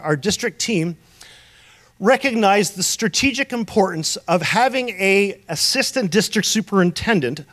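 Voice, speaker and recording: A man's voice: high-pitched, fairly bright tone. A man from Canada preaching a sermon, telling stories and asking rhetorical questions. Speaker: pastor.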